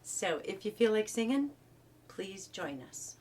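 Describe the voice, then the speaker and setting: A woman's voice: medium pitch, big pitch swings, measured pace, a little mellow, slightly rough. A woman casually introducing a song as an amateur musician at a church service. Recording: distant from the microphone.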